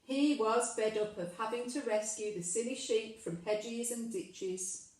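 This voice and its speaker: Lady reading kids a story, takes it really slow and emphatic, super echoey, bit far from mic. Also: on the high side, steady tone, darkish tone. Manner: deliberate, emphatic storytelling for young children. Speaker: primary school storyteller. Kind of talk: children's story read aloud, with questions to listeners. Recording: very echoey room, distant microphone.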